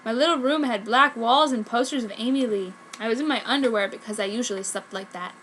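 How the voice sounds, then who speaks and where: A woman's voice: fairly high pitch, quick pace, a bit bright, a little hiss or hum. A woman reading fan fiction aloud as narrator, with a theatrical, mock-serious melodramatic delivery. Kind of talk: dramatic comedic story reading.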